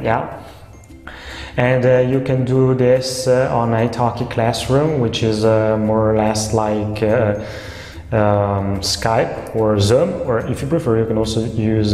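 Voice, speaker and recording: Young Italian man, casual vlog talk, halting with fillers; mellow tone, roomy echo. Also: mid-range voice. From Italy. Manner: hesitant, many "uh" fillers. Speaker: online language tutor. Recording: echoey room with long reverb.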